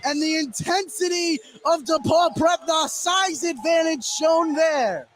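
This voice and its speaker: A man's voice: extremely high pitch, takes it slowly. A man doing live play-by-play basketball commentary as a radio announcer. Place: indoor gymnasium, courtside. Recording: clear background noise from a gym.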